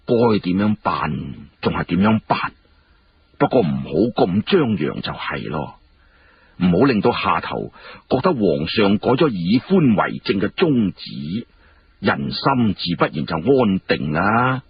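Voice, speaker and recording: Man acting an aged, deliberate minister, low and slow. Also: sing-song, heavily acted. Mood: measured, cautious. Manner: slow, deliberate, weighing each phrase. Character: aged senior minister, measured, tactful, deferential to the emperor. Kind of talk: Cantonese historical storytelling dialogue. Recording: muffled, clearly noisy radio-like recording.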